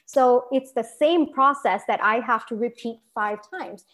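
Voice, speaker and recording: A young woman from Singapore talks as an interview guest, her voice sitting a little high. She is lively and laughs easily, often restarting her sentences as she goes. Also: measured pace. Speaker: guest entrepreneur. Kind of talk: conversational podcast interview.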